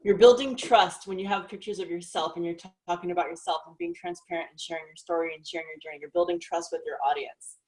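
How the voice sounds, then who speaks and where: A woman's voice: lowish voice, steady tone, fast talker, hardly any pauses, a little mellow, low-quality recording. Gushing chatty woman hosting a live. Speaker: host of a team training live. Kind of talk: casual live training chat.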